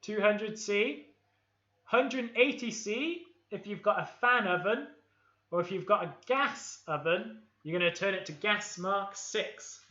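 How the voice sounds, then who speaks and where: A man's voice: sits high, expressive, unhurried, sharp tone. A young Welsh man with an upbeat, playful, encouraging manner, hosting a live baking session for children from a home kitchen. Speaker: host of a kids' baking livestream. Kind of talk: leading a live baking session with a game of I Spy. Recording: echoey room, dull lo-fi stream audio.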